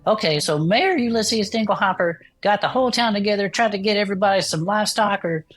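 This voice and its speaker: An actress playing a folksy, easily amazed small-town cryptid hunter in an audio drama, talking in exclamations and country phrasing. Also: female voice, medium pitch, fast, natural voice. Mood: curious, piecing together. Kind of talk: conversational audio fiction dialogue.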